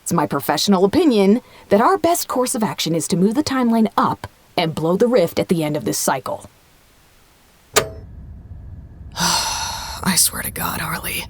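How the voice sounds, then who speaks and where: A stressed, animated woman director. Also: medium pitch, a little slow, pauses often. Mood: worn out. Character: stressed, exasperated woman serving as acting site director. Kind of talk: naturalistic audio drama acting.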